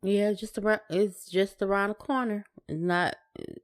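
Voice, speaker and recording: A woman with a mid-pitched voice chats casually as a podcast co-host. She is laconic, replying in short, low-key phrases. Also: moderate pace. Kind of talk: casual chat.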